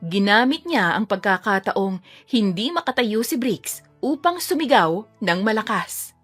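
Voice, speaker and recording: A woman's voice: mid-range voice, big pitch swings, measured pace, breathy edge, faint music behind. A woman reading scripted narration for a dramatic audio story.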